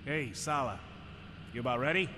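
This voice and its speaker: An actor playing a wisecracking, impatient smuggler pilot in an audio drama, casual and exasperated. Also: male voice, mid-range voice, lively intonation, normal speed, over loud music, close to an everyday voice. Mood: wry. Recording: orchestral score behind.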